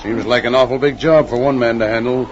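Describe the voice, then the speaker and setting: An actor playing a defiant, indignant frontier banker with folksy old-West speech, in 1940s radio style. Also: male voice, medium pitch, fairly even intonation, fast, natural voice. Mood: agitated. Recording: dull old radio recording, noisy background.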